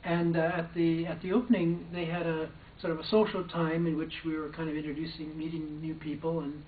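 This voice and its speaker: A man's voice: fairly high pitch, little pitch movement, a little fast, a little hiss or hum. An older man, a former probation officer, recounting a personal story in a hesitant way with frequent restarts. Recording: distant, echoey, phone-like sound.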